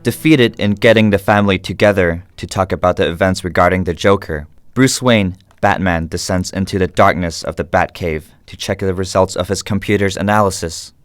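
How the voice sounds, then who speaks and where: A man playing the narrator of a superhero radio drama, reading scripted narration in a measured, dramatic way. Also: deep voice, smooth, no room sound, clean studio sound, soft background music, natural voice. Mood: solemn narration. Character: male narrator of a superhero story.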